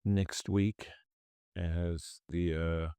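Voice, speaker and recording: Man, very low voice, slow drawl, stuffy nose, dry close mic. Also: level tone, warm tone, clean tone, no room sound. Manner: rambling, self-correcting, thinks aloud. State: congested from a cold. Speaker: stock trading channel host. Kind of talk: casual screen-share commentary on trades.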